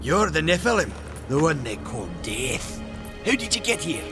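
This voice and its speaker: An actor playing a good-natured young giant blacksmith in a video game, folksy and earnest. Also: male voice, fairly high pitch, big pitch swings, moderate pace. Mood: curious, awed. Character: eager good-natured young Maker smith, brawny giant. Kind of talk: video game character acting. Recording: game music behind.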